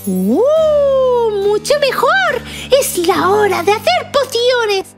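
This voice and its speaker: A wicked little cartoon witch with an extremely high, childlike voice. She gloats and threatens theatrically, swooping with glee over loud children's music. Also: big pitch swings, slow pace, heavily acted. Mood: gloating, scheming. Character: wicked young witch girl, gleefully menacing, cackling.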